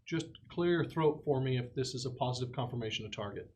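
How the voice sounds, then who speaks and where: A man of medium pitch takes his turn in a tabletop roleplaying game. He speaks casually, describing actions and voicing dialogue, over faint background noise with some clicking. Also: fast talker.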